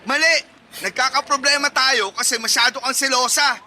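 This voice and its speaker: Womanizing young boyfriend, strained very high voice, whining, defensive, manipulative, radio melodrama. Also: fairly even intonation, normal speed, bright, forceful tone, heavily acted. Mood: defensive, smug. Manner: whining, pleading, accusatory. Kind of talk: melodramatic radio drama acting.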